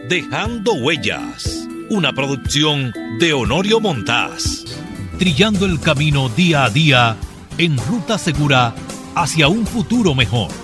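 Announcer over soft music. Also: male voice, fairly high pitch, very animated, a little slow. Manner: formal announcer delivery. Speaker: radio announcer. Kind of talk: scripted show opening.

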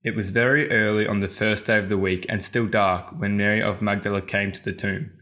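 A man reads scripture aloud in a steady, low voice. His delivery is plain and narrative. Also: brisk. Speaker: scripture reader. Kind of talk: scripture reading.

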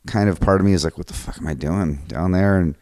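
A man's voice: low-pitched, quick pace, darkish tone, no room sound. A man hosting a podcast, talking in a rambling, casual way, often saying "man" and "dude" and swearing, recorded in a photo studio. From Washington State. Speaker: podcast host. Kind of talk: casual interview chat.

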